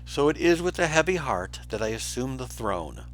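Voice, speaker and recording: A man's voice: medium pitch, sing-song, normal speed, dry recording, voice pushed up, lightly acted, poor audio. A man voicing a pompous, scheming lion villain, delivering grandiose oratory in a storybook. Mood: feigned sorrow.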